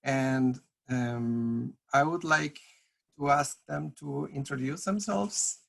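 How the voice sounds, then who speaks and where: A program organizer opens an online panel in a heavily gravelly, fry-laden voice. The delivery is halting, full of ums and false starts. It is plain mid-pitched talk over a video call. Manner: halting, many ums and restarts. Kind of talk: opening remarks on a video call.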